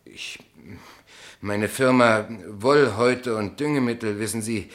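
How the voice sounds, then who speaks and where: An actor plays an unworldly, modest small-town man in a radio drama. His voice sits at a middle pitch, and he talks in a guileless, hesitant, homely way with a stubborn streak. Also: unhurried, lightly acted. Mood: bemused, modest. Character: naive, modest small-town businessman, poet and tuba player. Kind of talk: German radio play acting.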